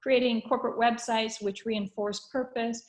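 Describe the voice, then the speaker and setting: A woman from Canada hosting an online webinar, upbeat, with frequent fillers and pauses. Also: fairly high pitch, steady tone, reverberant. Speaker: webinar host. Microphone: video call.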